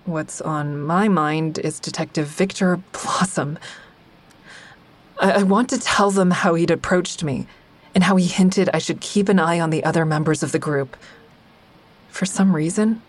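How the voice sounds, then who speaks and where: A woman's voice: fairly low pitch, moderate pace, lightly acted. A woman playing an introspective therapy-group member who narrates her own story in a confiding, reflective way for an audio drama. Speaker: narrator and lead actor.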